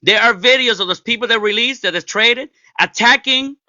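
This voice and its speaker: A man with a loud voice argues hard in a heated online debate, cutting in and repeating himself for emphasis. His voice sits high and sounds very bright and forceful. The sound is dry and somewhat dull, like a lo-fi call. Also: normal speed, no echo. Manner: loud, interrupting, emphatic, non-native grammar. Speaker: debate caller. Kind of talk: heated live-stream debate.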